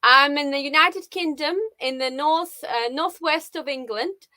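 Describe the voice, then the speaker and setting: A woman's voice: sits high, a little slow, pushed and bright, no echo. A woman in her forties with a Russian accent, a guest on a live video call, talking casually and hesitantly with many fillers.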